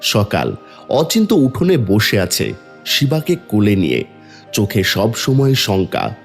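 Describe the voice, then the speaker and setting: Deep-voiced dramatic male storyteller. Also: huge pitch swings, unhurried, creaky, faint music behind. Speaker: story narrator. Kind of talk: dramatic scripted horror-devotional storytelling.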